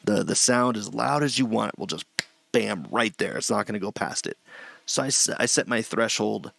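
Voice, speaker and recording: A middle-aged man with a low voice gives a loose, chatty screen-share tutorial from a small home room, often restarting his sentences. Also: normal speed, creaky, fairly bright tone, dry recording. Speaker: streamer teaching audio setup.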